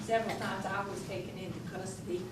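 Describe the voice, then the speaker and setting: A woman's voice: mid-range voice, little pitch movement, quick pace, close to an everyday voice. An actress playing an eccentric, defiant Civil War-era Southern abolitionist woman in a theatrical, declamatory stage monologue. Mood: sly, confiding. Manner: declamatory, emphatic, oratorical. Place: theatre stage, recorded from the audience. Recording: echoey distant stage recording, noisy.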